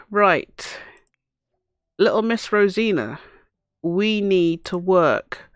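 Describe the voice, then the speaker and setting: Hoarse woman gamer, casual running commentary, chats to her game characters, dry close sound. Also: fairly low pitch, no room sound. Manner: chatty, talks to the game characters. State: hoarse from a cough. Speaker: let's play host.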